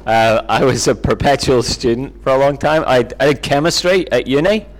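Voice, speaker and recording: A man's voice: mid-range voice, moderate pace. A middle-aged man with a Northern Irish accent, telling personal stories in a conversational, self-deprecating way as an interview guest. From Northern Ireland, near Belfast. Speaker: interview guest, Bible teacher. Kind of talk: informal personal storytelling.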